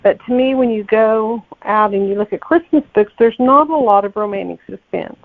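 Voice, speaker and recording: Female interview guest on a thin phone-quality line; gravelly edge, conversational, thinks aloud as she goes. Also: a little high, moderate pace. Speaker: podcast guest, novelist. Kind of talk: casual interview chat. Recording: tinny, phone-like, narrow-band line.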